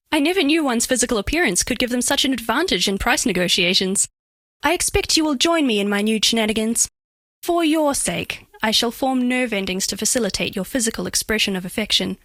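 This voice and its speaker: A woman's voice: fairly high pitch, fairly bright tone, no echo, studio quality. A woman voicing a bashful, flirtatious female alien made of living diamond in a video game, speaking in precise, oddly formal, earnest phrases. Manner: precise, stilted formal diction, earnest, coyly playful. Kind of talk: scripted game character voice lines.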